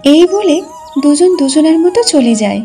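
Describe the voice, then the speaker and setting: A woman's voice: high voice, animated, measured pace, over music. A woman narrating a scripted children's folk tale as a storyteller.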